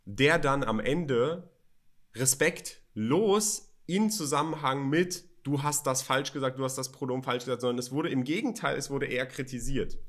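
Man in his twenties, mid-range pitch, podcast co-host, conversational discussion. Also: moderate pace, fairly bright tone. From Berlin, Germany. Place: home office studio, indoors. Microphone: studio microphone on boom arm, close.

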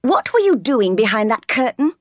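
Spoiled young master, played by a woman narrator: high, arrogant, accusing storybook bully. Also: big pitch swings, moderate pace, no room sound, clean studio sound. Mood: haughty, bullying. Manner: haughty, commanding, accusing.

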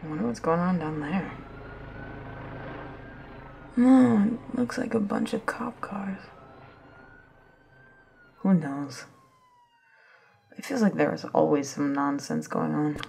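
A woman's voice: fairly low pitch, takes it slowly, gravelly, long pauses, dark tone, slight background noise, heavily acted. A woman acting an intense, insistent female character in an ASMR-style supernatural audio drama. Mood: restless, impatient.

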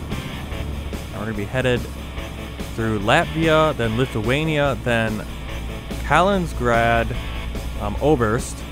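An American man casually talking over his own truck-driving game footage. He rambles and corrects himself as he goes. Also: mid-range voice. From United States. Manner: chatty, rambling, self-correcting. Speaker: gaming video host.

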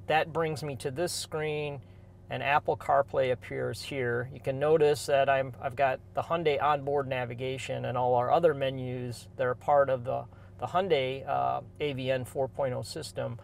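A man demonstrating in-car phone integration, explaining plainly with a few halting restarts. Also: medium pitch, fairly even intonation, gravelly, a little hiss or hum. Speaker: carmaker staff demonstrating tech. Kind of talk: product demonstration.

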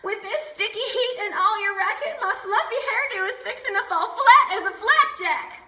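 A woman voicing a fussy older sister who complains in a shrill country drawl. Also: animated, moderate pace, bright, forceful tone, full character voice. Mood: irritated. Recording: roomy echo.

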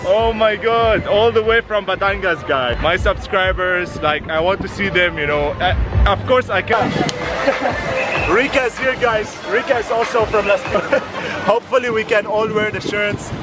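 A young man vlogging outdoors in a busy plaza with a camera at arm's length, chatting excitably with fans and laughing often. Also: sits high, a little slow. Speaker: travel vlogger. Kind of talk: casual vlog chat with fans. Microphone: camera held at arm's length. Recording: outdoor crowd and music noise. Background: crowd and loud music.

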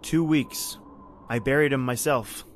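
A man's voice: mid-range voice, steady tone, a little slow, a little hiss or hum, lightly acted. A man playing a grim, bitter factory overseer with folksy speech in an old-time style radio horror drama. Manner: folksy, bitter, uses "aye" and "ain't". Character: grim, taunting male factory overseer on an island. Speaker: actor in audio horror drama.

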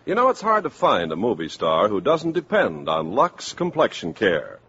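A man working as a 1950s radio announcer, delivering a polished scripted sales pitch. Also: huge pitch swings, moderate pace, a bit bright, husky. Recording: dull, slightly noisy 1950s radio.

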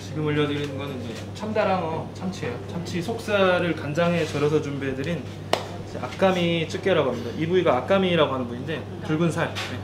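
Sushi chef with a higher voice. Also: male voice, quick pace. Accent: Jeju dialect. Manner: polite, explanatory. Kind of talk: explaining dishes to diners. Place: sushi counter restaurant. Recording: echoey restaurant with loud background noise.

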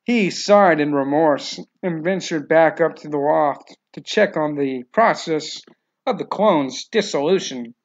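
Creaky-voiced male story narrator. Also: a little high, unhurried, highs cut off. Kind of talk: scripted narration of a novel.

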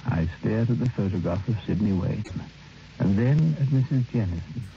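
An actor playing a brooding man who narrates a dark radio drama in grave, literary, measured phrases. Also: fairly low pitch, animated, gravelly, a little hiss or hum. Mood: horrified. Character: brooding male first-person narrator of a dark tale. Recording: dull old radio recording with music.

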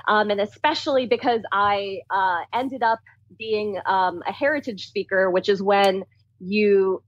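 Woman scientist in her thirties, animated and chatty, dry and a bit lo-fi. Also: medium pitch, a little slow, no room sound. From Philadelphia, US. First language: Tamil. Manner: laughs often, chatty and animated. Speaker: guest scientist. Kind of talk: casual interview chat.

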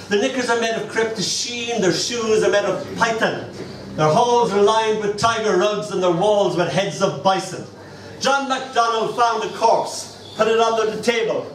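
An older man with an Irish accent performs live as a poet and host. His voice sits high and he speaks in a theatrical, rambling storyteller's way. It is picked up from a distance in a roomy space. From Ireland. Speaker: poet hosting an open mic. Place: small indoor venue stage. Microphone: far camera. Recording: roomy, noisy, distant camera recording.

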